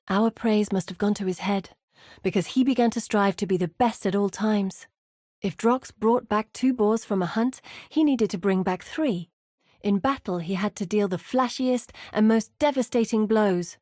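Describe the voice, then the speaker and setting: A woman's voice: mid-range voice, a little mellow, no echo, studio quality, lightly acted. An actress voicing a weary, earnest woman who leads exiled warriors in a video game, speaking in a grave, articulate manner. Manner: measured, grave, articulate storytelling. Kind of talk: scripted video game voice acting.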